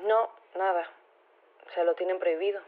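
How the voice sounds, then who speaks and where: A woman's voice: on the low side, fairly even intonation, normal speed. Flustered teacher-activist lady calling into radio, thin phone sound, chatty, rambles and hesitates, earnest. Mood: earnest, indignant. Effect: telephone filter. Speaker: phone-in interviewee. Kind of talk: radio interview in audio fiction. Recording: thin, narrow phone line.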